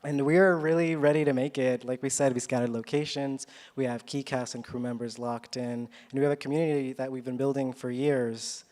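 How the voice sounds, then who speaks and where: An earnest man from Chile stands up to pitch his film. He speaks at a medium pitch and in a personal, heartfelt way, as in a live speech to a panel. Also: fairly even intonation, normal speed, hardly any pauses, darkish tone.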